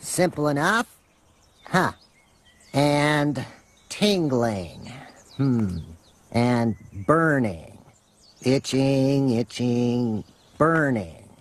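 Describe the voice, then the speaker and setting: A man voicing a cocky, paranoid, eccentric exterminator in an animated sitcom, with a pompous deadpan delivery. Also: mid-range voice. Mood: pained deadpan. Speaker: exterminator character.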